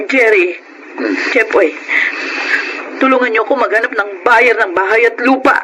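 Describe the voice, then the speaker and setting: A woman's voice: fairly high pitch, normal speed, lightly acted. A woman with a Filipino accent playing an earnest, emotional mother of a struggling family in a radio drama. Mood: weary resolve. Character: worried, earnest mother resolved to rescue her struggling family. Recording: noisy radio drama recording.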